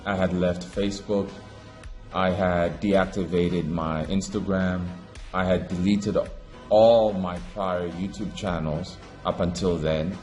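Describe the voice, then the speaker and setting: Man from Africa, low voice, preachy ranting commentator, faint music underneath. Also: a little mellow. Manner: preachy, emphatic, swings between raised declaring and low muttering. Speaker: online commentator. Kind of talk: impassioned monologue to camera.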